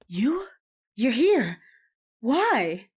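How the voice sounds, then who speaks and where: An actress playing an earnest, guarded villager from a besieged town in an audio drama. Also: female voice, on the high side, sing-song, extremely slow, slight background noise, exaggerated voice. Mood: grave, reproachful.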